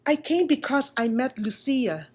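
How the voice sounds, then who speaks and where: A woman's voice: sits high, big pitch swings, a little slow, breathy edge, voice pushed up, full character voice. A woman voicing a small, timid male wooden puppet in a children's storybook, hesitant, earnest and full of questions. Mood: puzzled, earnest.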